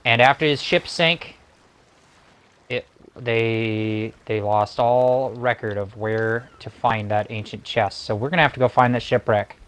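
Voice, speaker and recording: A man co-hosting a game stream, chatting and explaining in a casual, somewhat halting way. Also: mid-range voice, a bit bright. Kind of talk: casual game commentary and chat.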